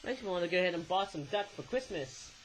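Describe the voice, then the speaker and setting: High-voiced Australian man rambling. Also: lively intonation, fast, a bit bright, a little hiss or hum, low-quality recording. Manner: rambling, tags sentences with "eh?". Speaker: toy reviewer. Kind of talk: casual toy review monologue.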